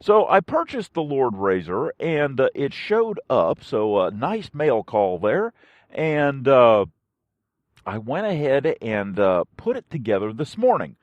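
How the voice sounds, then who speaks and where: A male podcast host talks in an unhurried, folksy ramble. His pitch swings up and down in a lively way as he pauses often mid-thought. The sound is dry and close, like a casual solo review. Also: no echo, dull audio.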